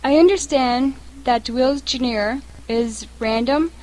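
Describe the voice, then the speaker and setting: A woman's voice: high-pitched, slow pace, darkish tone, low-quality recording. A woman interviewer asking a band member questions in an encouraging, upbeat way.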